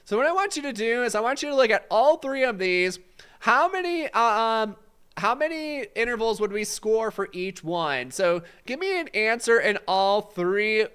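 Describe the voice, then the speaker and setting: A man's voice: high-pitched, bright, forceful tone, smooth. Congested man teaching a live study stream. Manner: casual, reads chat questions aloud, filler-heavy. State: congested, voice fading. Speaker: exam prep instructor.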